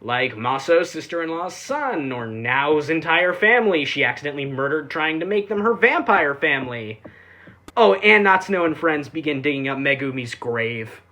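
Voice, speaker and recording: Casual commentary, sarcastic reviewer, medium pitch, offhand asides, frequent swearing. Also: animated, normal speed, sharp tone. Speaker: anime reviewer.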